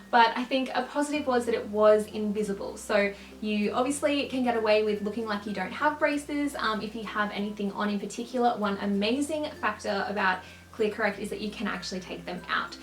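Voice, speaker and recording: A young woman vlogging in a casual, upbeat way, with a slight lisp and a quick laugh. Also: medium pitch. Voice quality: slight lisp from clear aligners. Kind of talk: casual chat to camera. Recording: roomy echo.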